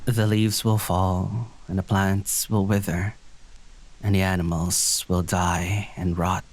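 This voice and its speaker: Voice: deep voice, flat delivery, takes it slowly, a little hiss or hum. An actor playing an anxious, withdrawn young diviner in an audio drama, hesitant in dialogue and lyrical in narration. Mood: brooding, lyrical.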